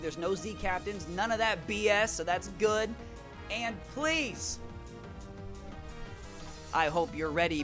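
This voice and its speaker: Hyped young man losing his voice. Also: high-pitched. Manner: hyped, shout-out heavy, repeats words when excited. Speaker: YouTuber and draft league coach. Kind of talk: energetic casual vlog talk. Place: small room at home.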